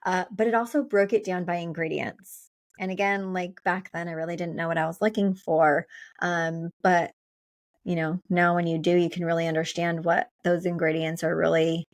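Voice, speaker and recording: A woman co-hosting a health show as a nurse, giving advice in an informal, conversational way. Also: fairly low pitch. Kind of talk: casual health advice chat.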